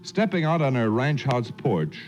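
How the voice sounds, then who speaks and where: An actor playing a genial, plain-spoken frontier lawyer narrating a 1940s radio western. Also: male voice, mid-range voice, very animated, measured pace, a little mellow. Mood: storytelling. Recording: dull old radio recording with music.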